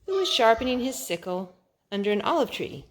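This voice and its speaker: A woman reading a Bible story aloud to children, with expressive, deliberate phrasing and drawn-out words. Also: fairly high pitch.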